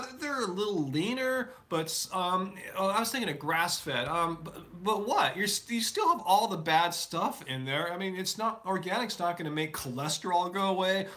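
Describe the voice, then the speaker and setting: A man with a bright, forceful tone and a slightly high pitch hosts a relaxed live Q&A stream. He answers viewer questions loosely, full of fillers and restarts. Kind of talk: casual live Q&A chat. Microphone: live stream setup.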